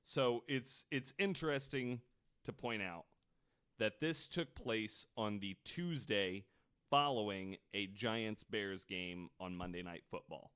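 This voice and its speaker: Man, low voice, podcast host, casual true-crime storytelling, conversational, swears freely. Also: fairly bright tone, smooth.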